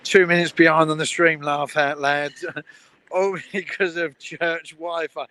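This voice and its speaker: Upbeat man hosting an outdoor stream, fairly high, bright and forceful tone. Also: fairly even intonation, unhurried, clean tone. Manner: upbeat, laughs often, reads viewer comments. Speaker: host. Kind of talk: casual livestream chat. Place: outdoors at a railway station. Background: trains.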